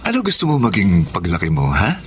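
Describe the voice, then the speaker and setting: A man's voice: low-pitched, wildly swooping pitch, a little fast, slight background noise. A man playing a boorish, bossy, needling husband in a radio drama, with a Filipino accent. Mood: jovial, teasing. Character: teasing, boorish, drunken bully of a husband. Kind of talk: Tagalog radio drama acting.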